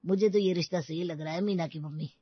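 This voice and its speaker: A woman's voice: on the low side, steady tone, fast, husky, dry recording, highs cut off. A woman playing an earnest father of a grown daughter in an audio drama. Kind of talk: Hindi audio drama acting.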